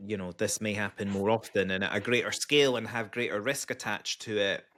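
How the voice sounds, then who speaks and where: A man's voice: lowish voice, steady tone, a little fast, fairly bright tone, slight background noise. Scottish man hosting, hesitant. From Scotland. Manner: conversational, hesitant, lots of ums and restarts. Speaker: podcast host. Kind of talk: podcast interview.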